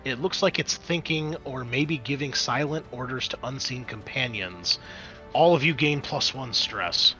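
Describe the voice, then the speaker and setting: A man's voice: medium pitch, measured pace, rough recording. A man acting as game master of a tabletop roleplaying game, talking casually and muttering asides as he works the game. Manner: casual swearing, muttering asides while working the game software. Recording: music behind the voice. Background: music.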